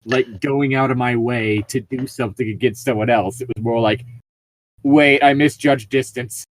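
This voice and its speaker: Amused low-voiced young-sounding man. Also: fairly even intonation, moderate pace, no room sound. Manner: amused, chuckling, swears casually. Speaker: co-host reading tabletop gaming stories. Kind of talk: casual group banter and story reading.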